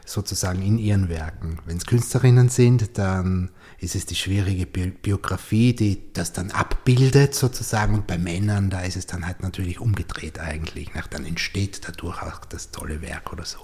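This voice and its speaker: A man's voice: low-pitched, normal speed, hardly any pauses, warm tone, breathy edge. A man hosting a podcast interview, thinking aloud with fillers and tag questions. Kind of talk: conversational interview.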